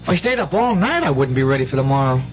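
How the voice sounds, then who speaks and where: An actress playing a worried, impatient schoolboy in a 1970s radio drama, talking in a folksy, plain-spoken way. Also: female voice, extremely low pitch, sing-song, fast talker. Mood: fretful, impatient. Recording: muffled, hissy 1970s radio recording.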